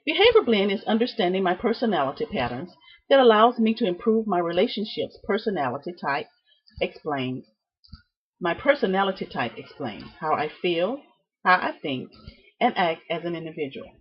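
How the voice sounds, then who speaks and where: A woman giving a prepared student presentation, reading from notes with deliberate, emphatic phrasing and halting repeats. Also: lowish voice, very animated, breathy edge. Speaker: student presenting a class project. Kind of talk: prepared speech, read from notes. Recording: dull, muffled lo-fi sound.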